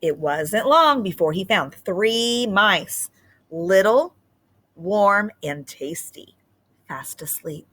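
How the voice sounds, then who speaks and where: Bright-voiced woman teacher, unhurried. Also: mid-range voice, husky. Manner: simple explanatory phrasing, expressive when reading. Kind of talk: children's read-aloud and lesson.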